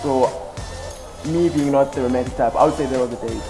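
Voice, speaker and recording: A young man of eighteen tells his side of a story in a halting, easygoing way, filling his pauses with ums. His pitch sits in the middle range. Background music plays clearly beneath his voice. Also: low-quality recording. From South Africa (Eastern Cape). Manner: hesitant, many ums and "actually". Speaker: first-year law student, interviewee. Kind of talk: casual interview answers. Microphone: headset.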